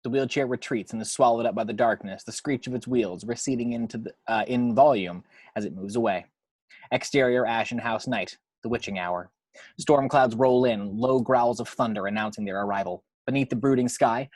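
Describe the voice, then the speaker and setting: A man's voice: medium pitch, moderate pace, a little mellow, no echo. A man reading action descriptions from a screenplay as scripted narration.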